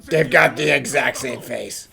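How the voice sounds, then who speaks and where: A man voicing a gruff, impatient police inspector in a cartoon fan dub, with a gravelly, blustering delivery. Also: medium pitch, a little slow, over music. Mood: astonished. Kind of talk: fan-dubbed cartoon acting.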